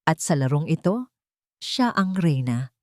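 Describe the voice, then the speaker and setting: Female narrator, lower register, dry close sound, scripted dramatic storytelling. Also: very animated, a little slow, a little mellow, no echo.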